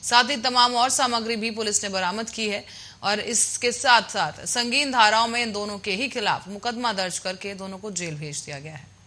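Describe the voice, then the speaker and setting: A woman's voice: mid-range voice, normal speed, pushed and bright. A woman reading scripted news as an anchor.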